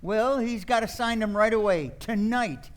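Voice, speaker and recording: A man playing an excitable, sardonic drama critic nephew in an old-time radio play. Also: sits high, normal speed, natural voice. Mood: flustered, incredulous. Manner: stammering when flustered.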